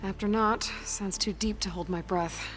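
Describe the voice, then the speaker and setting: An actress playing a confident, dry-witted young hunter in a video game, direct and wry. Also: female voice, medium pitch, fairly even intonation, normal speed, natural voice. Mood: determined, wry. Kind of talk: video game dialogue acting. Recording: music behind the voice.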